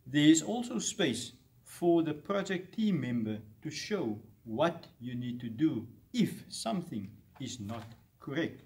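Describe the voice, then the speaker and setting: Slow, middle-aged man, off-mic. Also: on the high side, expressive, darkish tone. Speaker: science fair committee presenter. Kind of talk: scripted instructional explanation. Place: classroom or lab with display boards, indoors. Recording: distant camera microphone.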